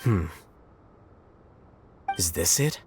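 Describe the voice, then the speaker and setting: A man voicing a calm, dry-witted scholar who teases his companions in a game cutscene. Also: low-pitched, very slow, background noise, heavily acted. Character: calm, wry male scholar.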